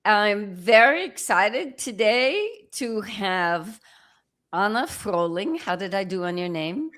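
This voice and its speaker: A woman's voice: medium pitch, sing-song, slow, fairly bright tone. A woman from the American Midwest with a Midwestern accent touched by Dutch, hosting an upbeat livestream interview over a video call. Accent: Midwestern US with a slight Dutch accent. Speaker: host.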